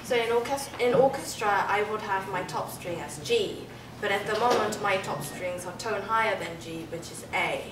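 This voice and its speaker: A woman's voice: mid-range voice, moderate pace, hardly any pauses, distant mic. A young woman from South Korea, a native Korean speaker with a British-influenced accent, modestly and hesitantly answering interview questions as a musician in a noisy, roomy hall. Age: young adult. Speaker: double bassist, concert soloist being interviewed. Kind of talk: onstage interview answers to an audience. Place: concert hall stage.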